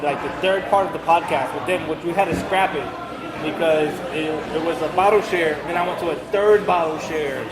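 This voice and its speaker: A man's voice: a little high, steady tone, a little fast. A man hosting a podcast, telling rambling stories in casual bar chat and cussing freely. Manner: rambling storyteller, cusses freely. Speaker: podcast host. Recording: roomy, loud crowd behind.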